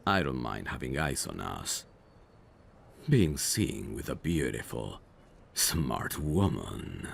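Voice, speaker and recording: Very deep, gravelly, teasing mafia boss, acted by a man. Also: sing-song, a little hiss or hum, heavily acted. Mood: teasing, flirtatious. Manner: teasing, unhurried, suave. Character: smooth, teasing mafia boss, flirtatious and faintly menacing. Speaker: voice actor in an audio roleplay. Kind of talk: ASMR roleplay drama.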